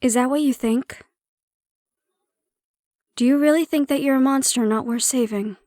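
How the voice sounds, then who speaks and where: Woman acting a prickly yet caring handler, higher-voiced. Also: moderate pace, a little mellow, no room sound, studio quality, lightly acted. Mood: gentle, sympathetic. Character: prickly but caring female handler of a captive hybrid. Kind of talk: intimate audio roleplay acting, one-sided conversation.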